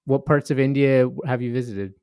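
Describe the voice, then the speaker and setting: A man's voice: mid-range voice, a little fast, a little mellow, no room sound, studio quality. A man hosting a podcast, speaking in an informal, conversational way. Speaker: podcast host. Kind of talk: podcast intro and casual interview chat.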